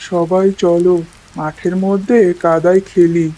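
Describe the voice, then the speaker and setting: A woman's voice: fairly low pitch, little pitch movement, unhurried, warm tone, lightly acted, poor audio. A woman voicing an easygoing, friendly village child in a children's cartoon story. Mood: cheerful.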